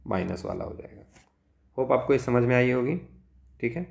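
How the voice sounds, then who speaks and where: A man's voice: medium pitch, moderate pace, gravelly, darkish tone, echoey room. A man with an Indian accent working through exam problems as a tutor, thinking aloud step by step and often checking in with short tag words. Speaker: physics tutor. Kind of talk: exam problem-solving lesson, mixing Hindi and English.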